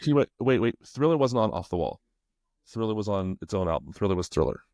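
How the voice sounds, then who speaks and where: A man's voice: on the low side, big pitch swings, fast talker, a little mellow, no room sound. A middle-aged man co-hosting a podcast in casual banter, teasing playfully and speaking emphatically. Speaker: podcast co-host.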